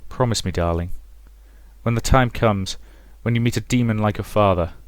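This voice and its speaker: A man's voice: lowish voice, clean tone, dry recording, lightly acted. Man voicing a menacing, domineering, bitter mother. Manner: commanding, deliberate, intense. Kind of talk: visual novel character voicing.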